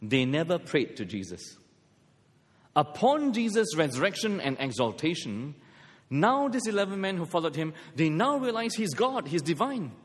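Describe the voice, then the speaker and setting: A man's voice: a little high, very animated, moderate pace, breathy edge. A middle-aged man from Malaysia preaching a sermon, mixing scripture with humorous personal stories. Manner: storytelling with self-deprecating humor. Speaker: pastor. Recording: long hall reverb.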